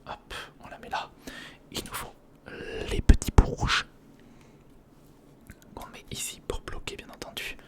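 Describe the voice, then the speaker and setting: A man whispering an informal ASMR narration of hands-on work, thinking aloud and softly repeating words, close to the microphone. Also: measured pace, pauses often. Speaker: ASMR video creator. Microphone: close recorder or studio microphone.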